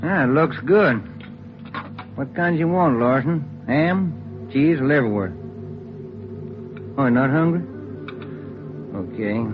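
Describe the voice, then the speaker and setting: Dull vintage radio audio with music behind. a steady detective with a medium-pitched voice, casual, folksy and plain-spoken. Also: male voice, slow, long pauses. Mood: casual, easygoing. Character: steady, folksy male police detective partner. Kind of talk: 1940s radio police procedural acting. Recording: muffled old radio broadcast.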